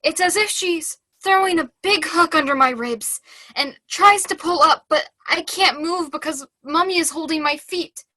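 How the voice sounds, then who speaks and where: A high, bright, childlike voice of a devout, troubled young nun, acted by a young woman. She speaks slowly and haltingly, earnest and fragile, as in a theatre monologue. The sound is dry and close. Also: dry recording. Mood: haunted, earnest. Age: teens. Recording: video call.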